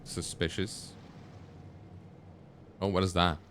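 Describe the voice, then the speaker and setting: A man's voice: low voice, level tone, slow, a bit bright, slight background noise. A man livestreaming a video game, giving casual commentary in short, offhand reactions. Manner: muttered reactions, short fragments. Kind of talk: casual live gameplay commentary.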